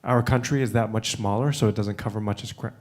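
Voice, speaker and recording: A man with a fairly low voice speaks as a panelist at a conference. He grew up in the United States and talks loosely, stopping to restart and filling pauses with "um" and "uh.". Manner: relaxed, with many fillers and restarts. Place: conference room.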